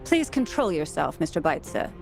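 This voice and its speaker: A woman's voice: low-pitched, very animated, gravelly, over music, exaggerated voice. Stern, composed female magistrate. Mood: firm. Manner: formal, authoritative, measured.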